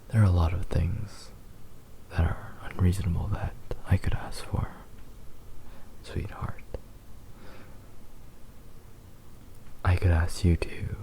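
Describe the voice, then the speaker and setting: Man acting a slow, whispering, possessive fox boy. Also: sits low, gravelly, exaggerated voice, rough recording. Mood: possessive, coaxing. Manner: soft, tender, hesitant, drawn-out delivery. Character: possessive, devoted young male fox spirit husband. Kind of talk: ASMR romantic roleplay, whispered.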